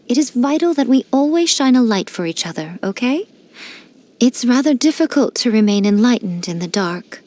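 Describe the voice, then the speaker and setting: An actress voicing a sly, elegant fox-spirit shrine priestess in a game, teasing and playfully condescending. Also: female voice, fairly high pitch, a little mellow. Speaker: game character. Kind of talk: studio game voice lines.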